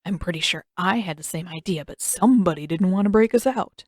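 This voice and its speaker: A woman's voice: lowish voice, brisk, dry recording, clean studio sound, close to an everyday voice. A woman voicing a sarcastic, dramatic teenage boy who complains a lot in a fan fiction audiobook reading. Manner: sarcastic, whiny.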